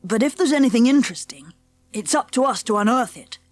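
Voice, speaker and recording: A woman's voice: a little high, animated, fairly quick, dry recording, soft background music, lightly acted. A woman voicing a confident, polite schoolboy who leads his friends in a children's audiobook. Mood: eager, explaining. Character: confident, polite schoolboy leader, eager and decisive. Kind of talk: children's audiobook character acting.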